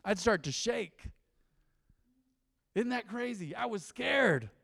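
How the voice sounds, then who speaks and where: A man preaching a sermon as pastor, animated and conversational, with frequent asides to the congregation. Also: high-pitched, slow pace, gravelly, big-room sound. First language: English. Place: church.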